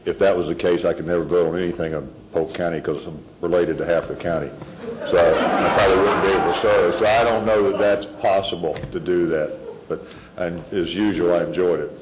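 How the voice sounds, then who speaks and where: Male legislator, very low register, informal and dryly humorous, slight background noise. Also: steady tone, fairly quick. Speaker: legislator on the panel. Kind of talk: informal remarks at a public meeting.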